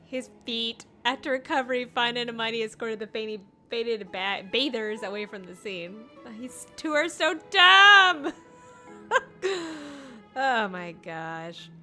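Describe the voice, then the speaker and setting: A woman's voice: a little high, expressive, a little slow, pushed and bright, faint music behind. A woman streamer reacting to a game, giggly and teasing, laughing as she reads along. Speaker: streamer and voice actor. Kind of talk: casual gameplay commentary.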